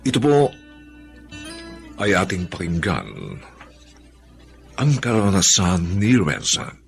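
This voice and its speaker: Filipino guy narrating radio drama, solemn, slow, big swings in tone, soft music under. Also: low voice, huge pitch swings, vocal fry. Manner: solemn, theatrical.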